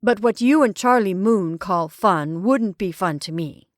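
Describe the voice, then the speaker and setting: A woman's voice: mid-range voice, measured pace, no room sound, natural voice. A woman reading as an earnest, pedantic young male scholar who lectures in precise, formal sentences, recorded dry and close.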